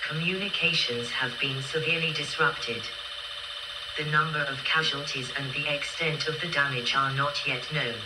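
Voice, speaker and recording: Echoey, distant emergency alert announcer over loud noise. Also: medium pitch, fairly even intonation, normal speed. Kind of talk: scripted emergency broadcast announcement.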